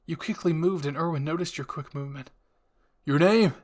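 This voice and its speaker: A man's voice: fairly high pitch, steady tone, fast talker, darkish tone. A man reading scripted fan fiction as a narrator and channel host.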